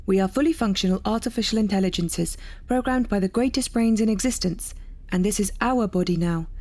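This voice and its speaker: A woman's voice: mid-range voice, mellow, lightly acted. A woman playing a stubborn, commanding artificial intelligence in an audio drama, scolding as she speaks. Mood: indignant. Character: bossy, stubborn female artificial intelligence sharing a body.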